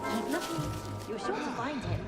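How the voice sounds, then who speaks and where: A woman's voice: high voice, very animated, moderate pace. An actress playing a delighted, genteel lady ghost watching on in a video game, gleeful in manner. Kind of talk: video game character acting. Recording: loud music over the voice.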